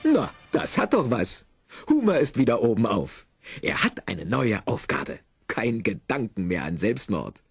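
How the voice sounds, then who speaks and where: A man with a husky voice narrating an audio drama in an animated, wry storytelling style. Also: on the low side, unhurried, exaggerated voice. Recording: dry cassette studio narration over music. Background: music.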